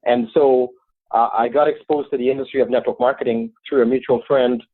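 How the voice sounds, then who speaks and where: A man's voice: mid-range voice, fairly even intonation, brisk, dry recording. Enthusiastic Trinidadian man on a tinny line. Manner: earnest, enthusiastic. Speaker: guest. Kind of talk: interview answers. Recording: dry, tinny phone-like line.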